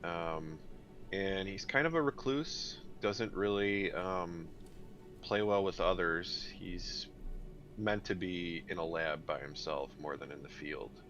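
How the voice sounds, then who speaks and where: A man's voice: low-pitched, takes it slowly, a bit bright. A man speaking casually and hesitantly as a player in a tabletop roleplaying game, on dull audio. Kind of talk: casual character introduction. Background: music.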